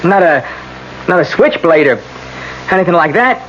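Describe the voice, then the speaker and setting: A man's voice: sits high, animated, moderate pace, fairly bright tone. An actor playing a nervous, defensive teenage boy from a street gang, hesitant and evasive, in a radio drama. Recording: muffled, noisy old radio broadcast.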